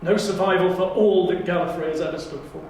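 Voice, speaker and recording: A man's voice: high voice, steady tone, fast talker, darkish tone. A man playing a grandiose, scornful Time Lord councillor with pompous, declamatory delivery for an audio drama audition. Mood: grand, fervent. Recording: very echoey room, distant mic, faint noise.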